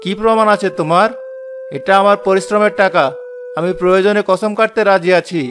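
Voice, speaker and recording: A man's voice: sits high, steady tone, fairly quick, a bit bright, slight background noise. A man voicing an honest, poor woodcutter in a cartoon tale, earnestly protesting that he is wronged. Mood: indignant. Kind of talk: dramatic cartoon voice acting.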